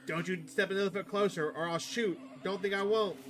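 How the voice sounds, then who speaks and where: A man's voice: sits high, fairly even intonation, brisk, background noise, voice pushed up, close to an everyday voice. A man voicing a protective, stubborn country farmer in a horror game, folksy and defensive in manner. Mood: threatening.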